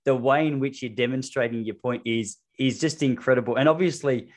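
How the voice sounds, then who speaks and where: A man's voice: medium pitch, brisk. A man hosting a running podcast, chatting with eager enthusiasm and plenty of fillers over a remote call. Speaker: podcast host and running coach. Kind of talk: casual podcast interview.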